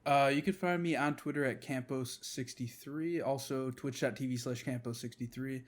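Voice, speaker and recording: A man's voice: mid-range voice, normal speed. A man co-hosting a podcast, chatting informally in a loose, rambling way. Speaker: podcast co-host. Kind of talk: casual chat about games.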